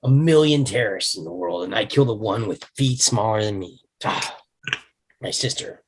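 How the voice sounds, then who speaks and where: A man playing a wry, weary cop in a script table read, with a husky voice and dry, sardonic delivery. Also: fairly low pitch, normal speed. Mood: exasperated.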